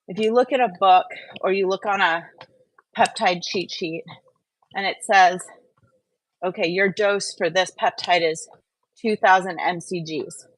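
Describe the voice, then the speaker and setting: A woman's voice: mid-range voice, no echo, low-quality recording. A woman hosting a casual livestream, explaining things step by step in a conversational, repetitive way. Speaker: host of a live wellness stream.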